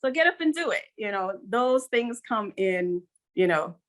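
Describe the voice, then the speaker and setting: A woman's voice: mid-range voice, animated, moderate pace. A middle-aged woman from Guyana, a guest on an interview podcast, talking in an earnest, upbeat way and repeating points for emphasis. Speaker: guest, business consultant.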